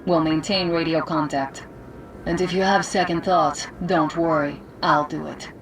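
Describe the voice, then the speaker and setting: An actress playing a tough, sardonic young sniper in a game, curt and commanding. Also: female voice, sits low, steady tone, measured pace, a crowd in the background. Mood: mocking. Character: tough sardonic young female sniper in post-apocalyptic Moscow. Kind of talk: video game voice acting. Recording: noisy game battle ambience.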